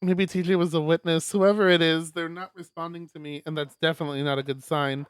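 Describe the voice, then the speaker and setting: A man streaming a live read-aloud of a visual novel, expressive, with stumbles and casual asides. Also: a little high, fast talker, smooth, no echo, studio quality. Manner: expressive, stumbles over words while reading.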